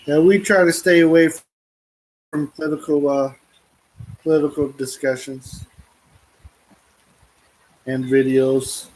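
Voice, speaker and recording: A man's voice: on the high side, fairly even intonation, slow pace, long pauses, darkish tone, low-quality recording. Easygoing middle-aged American man vlogging. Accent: American Midwest. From United States. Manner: easygoing, laughs often. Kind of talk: casual chat with viewers. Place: living room at home.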